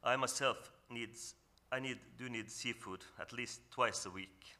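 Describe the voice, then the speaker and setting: A man from northern Norway with a Norwegian accent gives an earnest, reflective talk on a panel, often pausing and restarting as he searches for English words. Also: mid-range voice, pushed and bright. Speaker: panel speaker. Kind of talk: reflective presentation at a symposium.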